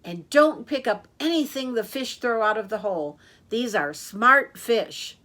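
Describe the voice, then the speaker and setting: Woman reading as a cautious storybook dad, slow and emphatic. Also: a little high, expressive, sharp tone. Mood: firm, cautioning. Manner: deliberate, emphatic, warning. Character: cautious, protective father in a children's storybook.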